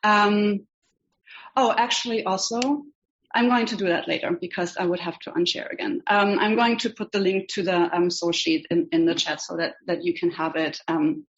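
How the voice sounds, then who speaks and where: German-accented woman, halting and low-voiced. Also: fairly even intonation. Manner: hesitant, many ums. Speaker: rabbinical student teaching a class. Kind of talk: online class teaching. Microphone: video call. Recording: dull lo-fi video call audio.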